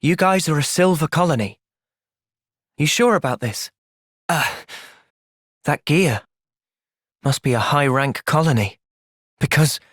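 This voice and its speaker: A man's voice: fairly high pitch, expressive, measured pace, pauses often, a bit bright, no echo, clean studio sound. A man playing an earnest, thoughtful young soldier hero with a British accent in a video game. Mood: earnest, calm. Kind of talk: video game cutscene voice acting.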